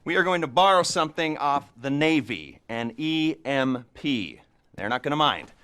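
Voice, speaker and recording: Game cutscene: wry, sarcastic heist planner, slightly high-voiced man. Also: lively intonation, normal speed, a bit bright, smooth. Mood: sardonic. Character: sardonic male heist mastermind, dry-witted schemer. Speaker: heist planner briefing the crew. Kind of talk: video game cutscene dialogue.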